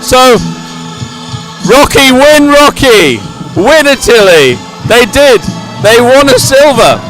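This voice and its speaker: A middle-aged man commentates live at a sporting event with music playing loudly behind him. His voice sits high for a man. He ad-libs effusively and sentimentally, often saying a phrase again for emphasis. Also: big pitch swings, slow, low-quality recording. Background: loud stadium music at a medal ceremony.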